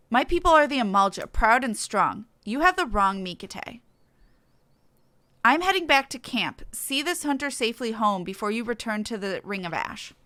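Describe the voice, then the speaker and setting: Woman acting as a scornful female warrior for a fantasy game; high, sharp-edged voice, curt orders, haughty bearing. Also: no room sound. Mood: proud, curt. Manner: haughty, commanding. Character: brusque proud female lizard-riding warrior, scornful.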